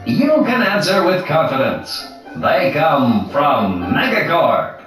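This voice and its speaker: An actor playing a chipper male corporate pitchman in a promotional infomercial from a video game. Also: very animated, slow pace, fairly bright tone, full character voice. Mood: reassuring, salesy. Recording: echoey, distant promo audio with music.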